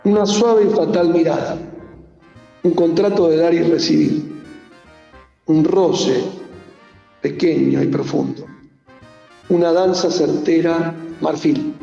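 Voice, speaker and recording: A man from Argentina recites poetry in a measured way, breaking it into short phrases. His voice is slow, mellow and creaky. It rings through a large, echoey hall. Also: a little high, faint music behind. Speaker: poet reading his own work. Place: on stage in a theatre. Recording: muffled, echoey theatre hall recording.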